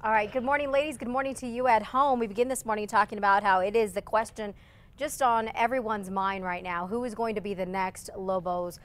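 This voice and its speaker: A woman news anchor reading morning headlines briskly, with light friendly banter and a slightly scratchy voice. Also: mid-range voice, fairly even intonation. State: scratchy voice from allergies.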